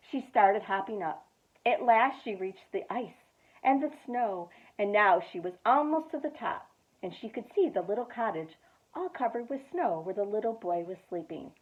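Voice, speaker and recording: Woman, youth director, children's story read-aloud, dramatic pauses, thin phone-like sound. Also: medium pitch. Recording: tinny phone-like audio.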